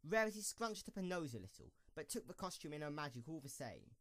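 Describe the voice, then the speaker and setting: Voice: medium pitch, very animated, quick pace, a bit bright, no room sound. A narrator reading scripted storybook-style narration for an amateur audio drama.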